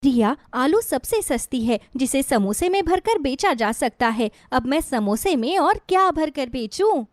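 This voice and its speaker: Woman acting a gentle, earnest mother, fairly high. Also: sing-song, measured pace, few pauses, no room sound. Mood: resigned. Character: poor, gentle, weary mother who sells samosas. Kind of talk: moral-story dialogue.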